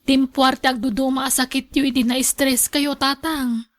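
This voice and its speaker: A woman plays a practical, earnest Ilocano wife and daughter. Her voice is high and recorded dry and close. She speaks as a radio drama heroine who keeps the household going. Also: flat delivery, normal speed, dry recording, close to an everyday voice. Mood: soothing.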